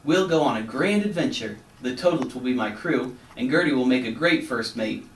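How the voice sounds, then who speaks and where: A man voicing an old male frog sea captain who talks in hearty, loud exclamations. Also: medium pitch, animated, moderate pace, natural voice. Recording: roomy, slightly distant, faint hum.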